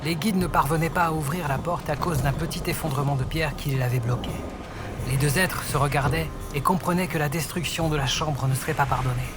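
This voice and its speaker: A man reads scripted fantasy narration as the storyteller. His voice is a little higher than average for a man, and music plays clearly behind him. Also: fairly even intonation, a little fast. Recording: voice over loud music.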